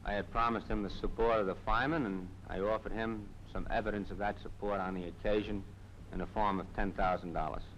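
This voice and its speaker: An American man testifying at a hearing in a measured, matter-of-fact way. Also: fairly low pitch, fairly even intonation, a bit bright. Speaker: witness, firemen's union president. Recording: faintly noisy 1950s hearing room newsreel.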